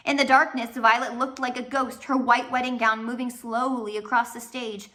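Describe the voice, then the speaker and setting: A woman reading a children's novel aloud as a storyteller, dramatic, with swells and hushed drops. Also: fairly high pitch, little pitch movement, moderate pace. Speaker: storybook reader. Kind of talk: expressive read-aloud narration of a children's novel.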